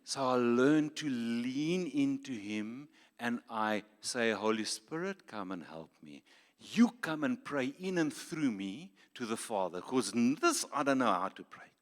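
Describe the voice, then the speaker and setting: A man's voice: mid-range voice, animated, a little slow. A man with a South African accent, preaching a sermon with emphatic rhetorical questions and playful asides. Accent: South African English. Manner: emphatic, rhetorical questions, switches to Afrikaans for jokes. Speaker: pastor. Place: large church hall. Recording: reverberant church hall.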